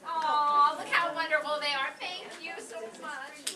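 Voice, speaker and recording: A woman's voice: sits high, steady tone, measured pace. A woman acting as host, making live closing announcements to an audience from the stage. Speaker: show host, master of ceremonies. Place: hall with audience and buffet. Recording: echoey hall, distant, loud crowd and noise.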